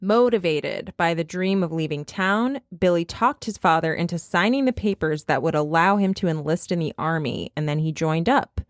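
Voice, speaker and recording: A woman's voice: fairly low pitch, moderate pace, few pauses, dry recording, studio quality. A woman with a Midwestern accent hosting a podcast, telling a story in casual chat and laughing often. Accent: Midwestern American. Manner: chatty, laughs often, reads quotes aloud. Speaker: podcast host.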